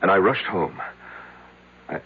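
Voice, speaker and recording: Over a tinny old radio broadcast comes a self-loathing, betrayed husband in middle age. His voice is extremely deep and full of gravel, and he confesses in hesitant, repeated fragments. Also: expressive, full character voice. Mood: anguished. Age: middle-aged. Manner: halting, hesitant, repeating himself. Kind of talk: radio drama acting.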